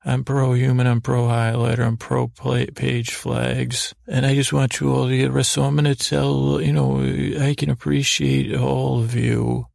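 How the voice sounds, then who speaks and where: Very creaky man rambling to lull. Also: medium pitch, level tone, a little mellow, dry recording, studio quality. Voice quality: creaky, gravelly. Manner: meandering, halting, repetitive, silly asides. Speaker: podcast host. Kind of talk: rambling bedtime monologue meant to lull listeners to sleep.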